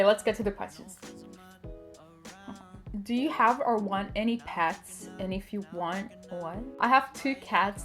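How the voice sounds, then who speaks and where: A woman's voice: a little high, fairly bright tone. Young Brazilian woman, Portuguese native, cheerful chatty vlogger, faint music underneath. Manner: chatty, upbeat, frequent "like" fillers. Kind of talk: casual Q&A chat.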